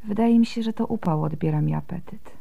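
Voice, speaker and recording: An actress playing a devout, gentle young Byzantine wife and mother in a radio drama, speaking softly and earnestly. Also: mid-range voice, fairly quick, creaky, phone-quality sound. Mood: tired, tender.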